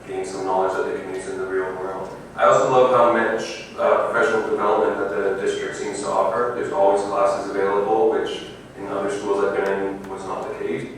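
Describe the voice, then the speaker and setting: A man's voice: low voice, flat delivery, brisk, slight background noise. A man teacher giving an earnest testimonial about his school. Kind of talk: recorded testimonial. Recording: echoey room from far off.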